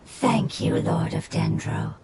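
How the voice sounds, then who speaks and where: A woman voicing a solemn, grateful otherworldly spirit in a video game, speaking formally and haltingly through an echoing effect. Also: low voice, gravelly, very noisy, full character voice. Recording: echoing voice under loud music.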